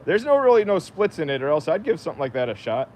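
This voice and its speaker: A man's voice: sing-song, fast talker, a little mellow. An American man talking casually and unscripted as a livestream host, rambling half to himself while he works. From United States. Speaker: livestream host, DIY woodworker. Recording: noisy workshop, machinery rumble.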